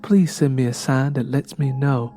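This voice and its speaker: A man voicing a weary, soft-spoken young woman who pleads earnestly in an audiobook story. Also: medium pitch, steady tone, moderate pace, soft background music, close to an everyday voice. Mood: hushed, pleading.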